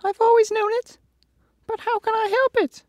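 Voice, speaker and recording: A squeaky, timid cowardly lion. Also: male voice, flat delivery, moderate pace, dry recording, muffled recording. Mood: ashamed. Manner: squeaky high falsetto, distant from the mic. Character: big cowardly male lion, timid and whiny.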